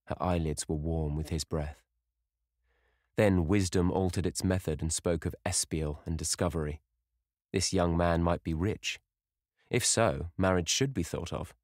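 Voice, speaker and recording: Deep-voiced man reading a classic novel. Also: a little slow, darkish tone, dry recording, clean studio sound. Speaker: audiobook reader. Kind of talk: scripted narration.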